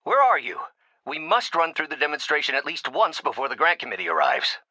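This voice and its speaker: A man's voice: on the high side, sing-song, fast, sharp tone, dry recording, heavily acted. A male actor playing a brilliant, proud and embittered middle-aged scientist and mentor turning villain in a video game, with articulate, theatrical delivery. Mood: impatient. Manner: articulate, theatrical, erudite phrasing. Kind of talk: dramatic scripted game dialogue.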